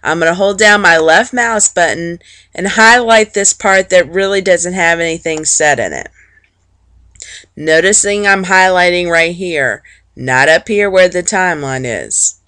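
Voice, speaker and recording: A woman teacher narrating an informal, plain-spoken software walkthrough step by step, explaining things for students. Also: on the low side, unhurried, no room sound. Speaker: teacher giving a software tutorial.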